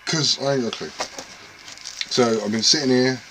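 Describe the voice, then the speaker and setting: A man's voice: mid-range voice, moderate pace, fairly bright tone, a little hiss or hum, rough recording. A man rambling casually and self-deprecatingly to camera about his radio gear at home. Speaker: CB radio hobbyist vlogger.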